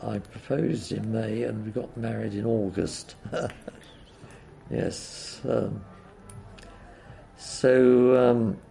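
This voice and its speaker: An older man with a deeply gravelly, croaky voice reminisces slowly and haltingly, filling pauses with uhs and ums. His tone is dark and mellow, with a faint hiss of background noise. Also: steady tone. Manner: hesitant, full of uhs and ums. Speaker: interviewee. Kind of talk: oral history reminiscence.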